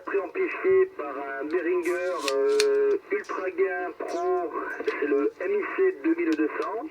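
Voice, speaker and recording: A man making a casual radio exchange as an operator, methodically spelling out call signs over a radio link. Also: fairly high pitch. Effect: radio transmission. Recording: noisy, muffled, distant radio reception.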